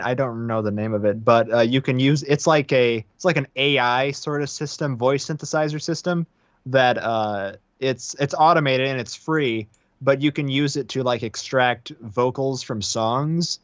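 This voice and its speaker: A young man trades jokes on a late-night livestream. His voice comes through a dull, dry connection at an ordinary pitch. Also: dry recording. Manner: jokey, quick with asides. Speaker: livestream co-host. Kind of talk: casual late-night chat.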